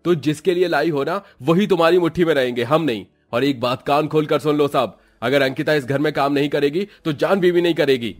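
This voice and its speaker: A man's voice: on the high side, fairly quick, hardly any pauses, lightly acted. A man voicing an indignant, confrontational husband defending his wife in a moral cartoon story. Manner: stern, confrontational.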